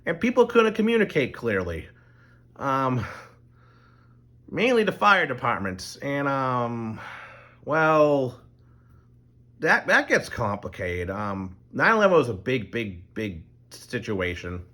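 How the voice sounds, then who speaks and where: A man's voice: medium pitch, sing-song, slow, pauses often, sharp tone. A middle-aged man talking casually to camera, rambling through an explanation with many ums and restarts. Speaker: channel host.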